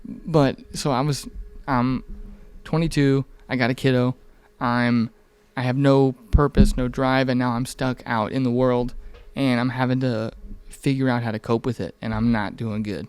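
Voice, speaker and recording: A man's voice: medium pitch, flat delivery, moderate pace, few pauses, a little mellow, smooth. A man in his mid twenties chatting candidly on a podcast in an informal, rambling, earnest way, with some swearing. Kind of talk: casual, candid podcast conversation.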